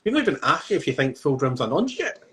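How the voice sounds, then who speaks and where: A man's voice: fairly high pitch, big pitch swings, fast, fairly bright tone. A man, co-hosting a podcast and telling jokey stories with laughter. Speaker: podcast co-host. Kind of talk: casual podcast chat.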